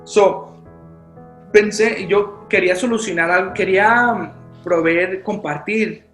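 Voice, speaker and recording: A man's voice: high-pitched, takes it slowly, fairly bright tone. A man who grew up in Chicago with Spanish as his first language speaks as a youth pastor in a casual interview. He stumbles and restarts his sentences often, slipping in English fillers. His voice sits in a roomy space with music playing underneath. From Chicago, United States. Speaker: youth pastor and podcast guest.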